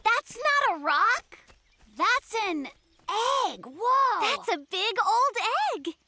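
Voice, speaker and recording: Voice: extremely high pitch, very animated, slow pace, no echo, exaggerated voice. An actor voicing a sensible, eager little boy bear cub in a children's cartoon, earnest and keen to explain. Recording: loud children's music behind. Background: children's cartoon music.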